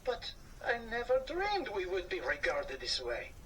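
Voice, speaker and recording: A man voicing a proud, sensitive gentleman mouse from a children's storybook with theatrical, formal phrasing. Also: high voice, wildly swooping pitch, measured pace, pitched above the performer's own voice, full character voice. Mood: wounded, indignant. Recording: slightly distant mic, faint noise.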